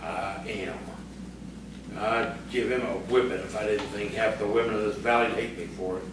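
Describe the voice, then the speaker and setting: A man acting in audio theatre as a jealous, hot-tempered country bully and scheming ringleader, gruff and blustering. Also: fairly low pitch, fairly even intonation, moderate pace, lightly acted. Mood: angry, jealous. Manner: gruff, blustering, rustic phrasing. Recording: echoey, distant, noisy stage recording.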